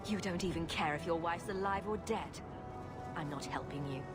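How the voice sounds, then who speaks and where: A woman's voice: fairly low pitch, moderate pace, close to an everyday voice. A woman playing a blunt young mercenary heroine in a video game, cool and sarcastic in manner. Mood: sarcastic, disdainful. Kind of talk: dramatic video game acting. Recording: loud game music behind the voice.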